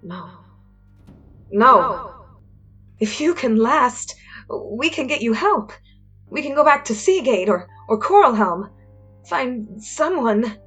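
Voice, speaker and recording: A woman's voice: fairly high pitch, big pitch swings, slow pace, pauses often, fairly bright tone, slightly rough, exaggerated voice. A woman acting a compassionate, distressed young female warrior in a dramatic audio story. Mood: desperate, insistent. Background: music.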